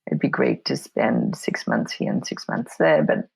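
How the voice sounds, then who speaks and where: A middle-aged woman from Poland, an interview guest talking reflectively in a soft, thoughtful way that often drifts into whispery asides. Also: rumbling low, sing-song, unhurried, gravelly, breathy edge, no echo, poor audio. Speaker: interview guest, photomedia artist.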